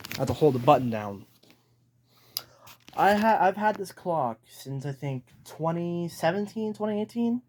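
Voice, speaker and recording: A man's voice: fairly high pitch, sing-song, measured pace, darkish tone, a little hiss or hum. A man chatting casually as he hosts a hobby video, informal and hesitant, thinking aloud. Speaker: hobbyist video host showing a radio collection. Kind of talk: casual vlog chat.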